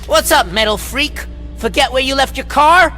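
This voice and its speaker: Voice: high-pitched, moderate pace, close to an everyday voice. An actor playing a brash, foul-mouthed gang thug in a video game, taunting and aggressive. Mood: jeering. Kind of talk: video game cutscene acting. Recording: loud music behind.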